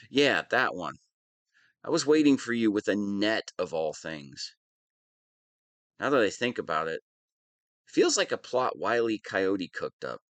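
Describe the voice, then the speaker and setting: Man acting a whiny wannabe super-villain, swinging pitch. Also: fairly bright tone, no echo. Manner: whiny, self-pitying, dramatic swings. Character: whiny, hapless, soft-hearted male wannabe super-villain. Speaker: audio roleplay voice actor. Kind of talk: scripted one-sided audio roleplay monologue.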